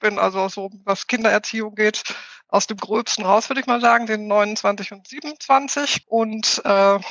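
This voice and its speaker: A woman in her fifties with a Ruhr German accent introduces herself on a panel, talking in a reflective, loosely phrased way with pauses to think. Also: medium pitch, fairly even intonation, a bit bright, dry recording, dull audio. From Ruhr area, Germany. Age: 50s. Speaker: Scrum trainer and panelist.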